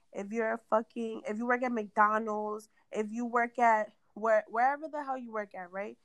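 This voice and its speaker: Raspy New York woman ranting. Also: fairly high pitch, a bit bright, no room sound. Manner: blunt, cursing, ranting. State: raspy voice after an asthma attack. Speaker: podcast host. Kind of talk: casual podcast chat.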